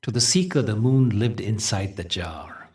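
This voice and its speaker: Low deep male meditation guide, gentle n soothing, lots of pauses, bit far from the mic. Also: animated. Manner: gentle, measured, soothing delivery with deliberate pauses. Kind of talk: guided meditation with spiritual storytelling.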